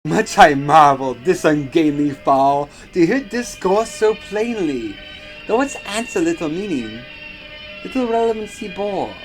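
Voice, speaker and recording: A young man giving a theatrical, brooding recitation of gothic horror poetry, moving between hushed and loud delivery. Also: on the high side, lively intonation, a little slow, faint music behind. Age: young adult. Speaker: performer reciting a poem. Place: furnished basement living room.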